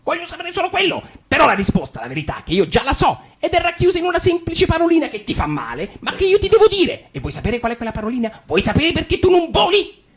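A man's voice: very high-pitched, wildly swooping pitch, fast talker, voice pushed up, full character voice. A man doing a jealous, preachy blackbird. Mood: scornful lecture. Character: jealous older male blackbird, bossy lecturer. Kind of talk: radio fairy-tale reading. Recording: guitar music underneath.

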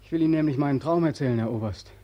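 An actor playing a weary, haunted ex-soldier in a 1940s radio drama, pleading and obsessively repeating himself. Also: male voice, fairly high pitch, rapid-fire, mellow, no room sound, muffled recording, lightly acted. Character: weary, haunted ex-soldier back from war, insistent.

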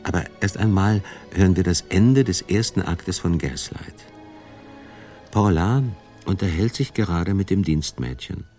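A man's voice: low voice, unhurried, dark tone, faint music behind. A man giving scripted narration as the narrator of a radio documentary.

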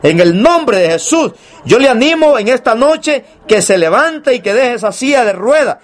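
A man delivers an evangelical sermon in a strikingly high voice. He speaks straight to his congregation, urging them on and posing rhetorical questions as he preaches. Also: sing-song, normal speed. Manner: exhorting, rhetorical questions, addresses listeners directly.

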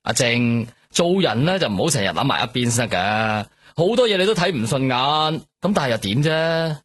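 An actor from a radio drama playing a worldly, bossy police superior, nagging in colloquial, Hong Kong-accented speech with a rough voice. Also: male voice, medium pitch, sing-song, normal speed, fairly bright tone. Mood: admonishing.

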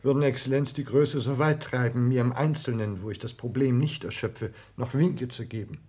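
A slightly husky actor's voice of an ambitious household secretary, played by a man. He speaks in a formal, measured way with clipped, guarded replies, in the manner of 1960s German radio drama. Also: medium pitch, warm tone, close to an everyday voice. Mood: deferential, formal.

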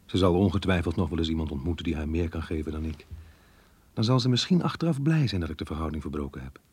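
An actor playing a melancholy married man reading his diary in a radio drama, earnest, reflective and measured. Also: low voice, animated, darkish tone, breathy edge, no echo. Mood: guilty, resigned. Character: married man, melancholy diarist torn by guilt over lost love.

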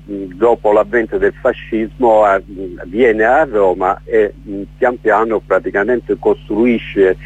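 A man's voice: lowish voice, slightly rough, no room sound. A middle-aged man from Calabria, an author speaking as a radio interview guest, hesitant with many fillers and restarts. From Calabria, southern Italy. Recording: muffled lo-fi radio broadcast.

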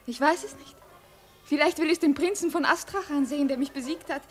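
An actress playing a spoiled, imperious young princess in a 1950s radio play, formal and dramatic with breathy pleading. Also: female voice, high voice, fairly quick, faint music behind, close to an everyday voice. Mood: coy, excited. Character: haughty, spoiled young Chinese princess, imperious yet yearning.

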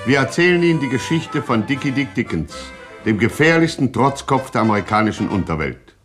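An actor playing a pompous, deadpan narrator in a satirical gangster radio play. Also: male voice, medium pitch, a little fast, loud music behind the voice, lightly acted. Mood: grandiose. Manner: grandiose, deadpan. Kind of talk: mock-solemn scripted radio drama narration.

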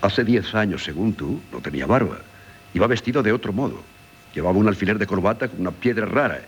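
An actor playing a troubled, reasoning middle-aged husband in a 1970s radio drama, with a Castilian accent. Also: male voice, on the low side, lively intonation, fast talker. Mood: patient, reasoning. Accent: Castilian Spanish. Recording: hissy 1970s radio recording.

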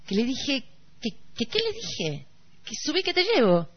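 A woman's voice: sits high, wildly swooping pitch, unhurried, exaggerated voice. A woman acting a vain, courteous, slightly bumbling male singer in an Argentine radio play. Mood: polite, insistent. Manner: courteous, hesitant, self-important. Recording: dull lo-fi radio recording.

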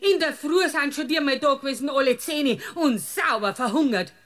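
A woman's voice: high-pitched, normal speed, a bit bright. A woman playing an indignant, scolding old farmer's wife with a rustic Bavarian dialect in a comic radio drama.

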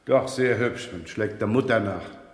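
A man's voice: lowish voice, unhurried, husky. An actor playing a grumbling, wry and brusque male police inspector in a 1970s radio crime drama. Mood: brisk, sly.

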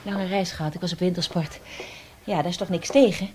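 A woman playing a dazed, fragile woman in denial in a radio drama, halting and repeating herself. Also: on the low side, fairly even intonation, mellow, slight background noise, lightly acted. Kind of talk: naturalistic Dutch radio drama acting.

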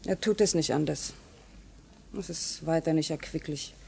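A woman's voice: low-pitched, moderate pace, gravelly, mellow, a little hiss or hum. An actress playing an earnest, dutiful, reproachful woman in a German radio play, speaking with restraint. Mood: subdued, disapproving.

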